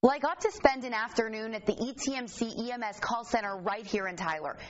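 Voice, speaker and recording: A woman's voice: a little high, a little fast, dull audio. An American woman introducing a television news report as its reporter.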